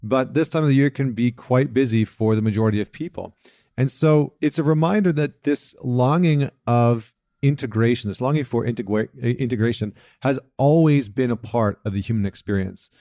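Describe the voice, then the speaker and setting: A man's voice: mid-range voice, moderate pace, warm tone, no echo, clean studio sound. A man hosting a solo talk show, speaking in a reflective, earnest way with fillers and restarts. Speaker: podcast host, men's coach. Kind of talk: casual solo talk with a read passage.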